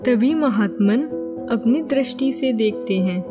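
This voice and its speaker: A woman's voice: a little high, a little slow, music in the background. A woman narrating a scripted moral story as a storybook narrator.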